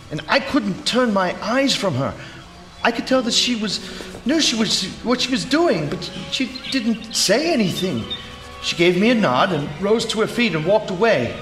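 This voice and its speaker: An earnest, haunted gentleman in a period stage drama. His high voice moves dramatically with intensity. It sounds echoey, with music behind. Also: sing-song. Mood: haunted, hushed. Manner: intense, halting recollection breaking into frantic cries. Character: haunted, earnest gentleman sculptor recalling a mysterious woman. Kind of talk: theatrical period audio drama acting.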